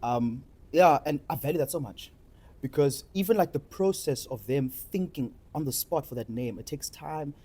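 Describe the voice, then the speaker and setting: A man's voice: on the high side, animated, moderate pace, a little mellow, slightly rough. South African man chatting casually. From Cape Town, South Africa. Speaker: discussion host and participant. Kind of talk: casual conversational discussion.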